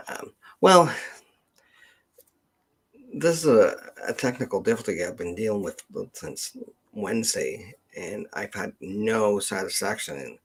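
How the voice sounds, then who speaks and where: A man hosting an unscripted livestream Q&A, speaking haltingly as he thinks aloud. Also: on the low side, gravelly, breathy edge. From Canada. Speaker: genealogist hosting a live Q&A.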